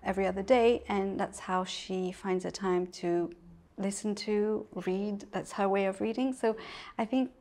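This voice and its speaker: A middle-aged woman from Mauritius talks easily about books and family as an interview guest. Her voice has a gravelly, creaky texture, and she laughs often and doubles back on her words. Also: medium pitch, fairly even intonation, unhurried. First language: English. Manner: warm, chatty, laughs often, many restarts. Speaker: author guest. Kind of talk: casual interview conversation.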